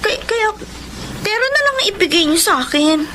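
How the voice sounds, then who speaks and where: An actress playing a petulant, demanding young Filipina granddaughter in a radio drama, whiny and insistent. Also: female voice, very high-pitched, sing-song, normal speed, pushed and bright, slight background noise, heavily acted. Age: young adult. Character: petulant, demanding pregnant nineteen-year-old granddaughter.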